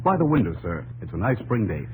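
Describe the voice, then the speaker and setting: An actor playing an earnest, loyal young army captain who narrates and acts in a 1950s radio drama, solemn and respectful. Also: male voice, fairly low pitch, very animated, fairly quick, noisy background. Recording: old radio broadcast with music bed.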